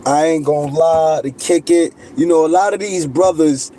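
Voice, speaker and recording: A middle-aged man holds forth in a loose, insistent monologue, peppered with street slang and "you heard?". His voice sits a touch high but sounds mellow and relaxed. Faint background noise and passing vehicles can be heard. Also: moderate pace. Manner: street slang, insistent, repeats "you know what I mean". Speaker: channel host. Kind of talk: casual monologue to camera.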